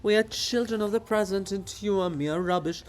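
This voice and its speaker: A man voicing a mocking, excitable chorus of gossiping living monuments in a theatrical reading. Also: high voice, moderate pace, a little hiss or hum, voice pushed up, natural voice. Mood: defiant singing. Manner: jeering, excitable, taunting.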